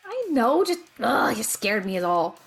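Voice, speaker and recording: Woman acting sardonic young female mage, slightly high voice, animated, bossy, exasperated, fantasy audio drama. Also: a little slow, creaky, breathy edge, faint music behind, full character voice.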